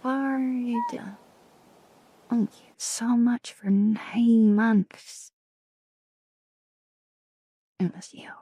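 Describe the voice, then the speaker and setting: A woman streamer chatting casually with viewers in a soft ASMR style, her voice raspy and deeper than usual, often slipping into whispers. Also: fairly even intonation, very slow, pauses often, dry recording. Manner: chatty, greets and thanks viewers.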